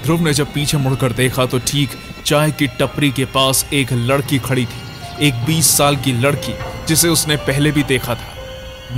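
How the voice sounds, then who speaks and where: A man narrates a horror story with a dramatic storytelling delivery. His voice sits at a medium pitch, and music plays clearly underneath. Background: background music.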